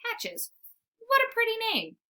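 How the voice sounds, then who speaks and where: A woman voicing an eager, boastful young male dog in an excitable, earnest storybook read-aloud. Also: very high-pitched, unhurried, voice pushed up, heavily acted.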